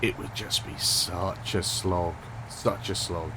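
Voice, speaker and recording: A man hosting a gaming channel, chatting casually over gameplay with a stuffed-up, unwell voice, thinking aloud with a monotone delivery. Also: low-pitched, slow, noisy background. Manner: rambling, thinking aloud with restarts.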